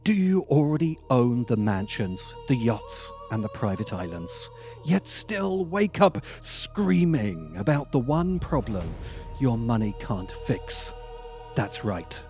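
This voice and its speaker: Male video essay host: mellow tone, highly animated pitch, dry dramatic emphasis, music underneath. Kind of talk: scripted narration, film commentary.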